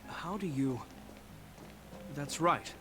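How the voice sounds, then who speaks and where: An actor playing a dutiful young guard captain who speaks formally and solemnly in a game cutscene. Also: male voice, on the high side, very slow, noisy background. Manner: formal, solemn narration of history. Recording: loud game music behind. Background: game music.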